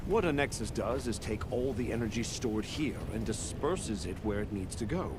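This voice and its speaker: A man voicing a self-assured, analytical engineer in a video game, explaining in measured phrases as if thinking aloud. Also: on the low side, animated, background noise, natural voice. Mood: matter-of-fact. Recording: loud game music behind.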